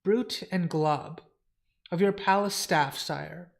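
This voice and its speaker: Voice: mid-range voice, slow pace, creaky. A comic reader voicing a dutiful male librarian who serves a lord, reporting in a formal, respectful way. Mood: grave, reporting.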